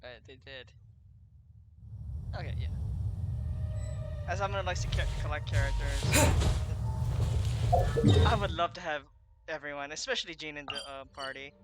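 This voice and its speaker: A man with a fairly high voice commentates casually on a video game he plays. He talks in a rambling, halting way, with loud game music running behind him. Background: loud game music and sounds.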